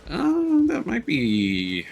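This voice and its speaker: A man's voice: on the high side, huge pitch swings, very slow, gravelly, music in the background. A man giving casual, off-the-cuff commentary as he streams a video game. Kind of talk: casual let's-play commentary.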